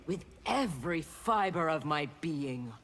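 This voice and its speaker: Scornful, haughty armored fighter. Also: female voice, low-pitched, expressive, unhurried, a little hiss or hum. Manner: scornful, dry. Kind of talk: video game fighter dialogue.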